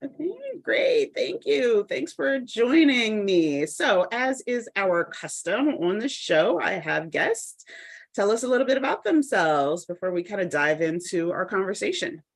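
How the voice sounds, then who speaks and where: A woman hosting a podcast, reading a measured, articulate introduction before interviewing her guest. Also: mid-range voice, sing-song, creaky. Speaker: podcast host. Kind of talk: scripted introduction then interview.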